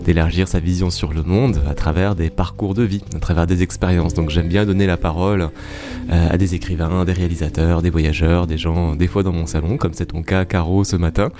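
A man's voice: deep voice, fairly even intonation, a little fast, music in the background. A man hosting a show, interviewing a guest in a casual, conversational way.

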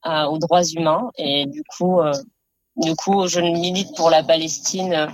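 Low-pitched, emphatic woman giving testimony. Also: unhurried, dry recording, rough recording. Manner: emphatic, rhetorical, builds phrases by repetition. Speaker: activist being interviewed. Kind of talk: personal testimony in an interview.

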